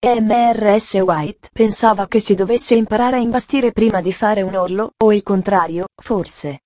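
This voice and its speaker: An eager, talkative little girl from a storybook chatters on earnestly, as a woman reader puts her on. Her tone is soft and mellow, and the sound is dry and close, with no room around it. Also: mid-range voice, moderate pace, dry recording, highs cut off, close to an everyday voice. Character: cheerful talkative young girl.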